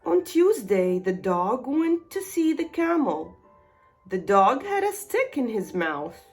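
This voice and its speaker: A woman narrating a scripted children's bedtime story with expressive, deliberate storybook phrasing. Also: fairly high pitch. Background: music.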